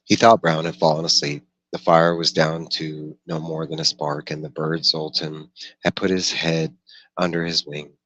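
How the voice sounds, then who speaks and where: A man narrating a novel aloud in a somewhat halting way, occasionally stumbling over words. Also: a bass voice, little pitch movement, a bit bright, low-quality recording. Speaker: audiobook reader.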